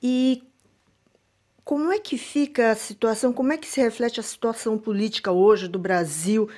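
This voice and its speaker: A woman interviewing a guest for radio, asking questions in a clear, composed way. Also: medium pitch, a little mellow.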